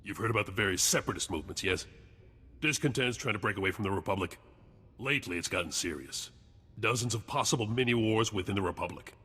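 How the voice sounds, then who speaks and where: A world-weary intelligence agent from a space adventure game. His low voice has a hard, forceful edge and a clipped delivery. It rings with long reverb. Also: fast talker, breathy edge. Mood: urgent, conspiratorial. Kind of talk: video game dialogue.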